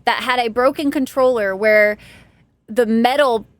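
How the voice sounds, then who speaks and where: A young woman from Texas talks to her stream chat while she plays, her voice sitting a little high. She rambles casually, pokes fun at herself and laughs often. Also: measured pace, no echo. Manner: chatty, self-deprecating, laughs often.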